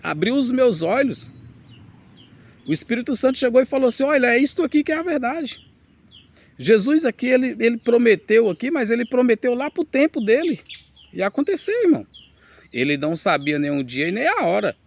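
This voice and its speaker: A man's voice: extremely high pitch, very animated, fairly quick, gravelly. A man preaching a teaching sermon, reading scripture aloud and addressing his listeners directly with emphasis.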